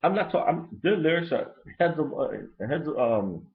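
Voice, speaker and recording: Man, Haitian accent, blunt, profane, rambling review, lively pitch, lo-fi sound. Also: unhurried. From Brooklyn, New York. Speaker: album reviewer. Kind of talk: casual unscripted album review rant. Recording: lo-fi dull recording.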